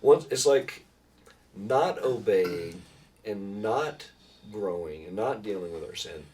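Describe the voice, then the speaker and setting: Low-voiced middle-aged man, distant mic. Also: lively intonation, slow, darkish tone. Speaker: pastor and podcast host. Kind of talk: casual conversational chat about faith. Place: small room with foam panels. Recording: distant mic, room noise.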